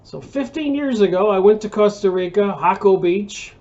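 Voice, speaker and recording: A man's voice: sits high, animated, normal speed, a little mellow, muffled recording. An American man in his sixties, hosting a book review channel, talks in a casual, rambling monologue full of fillers and restarts. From United States. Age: 60s.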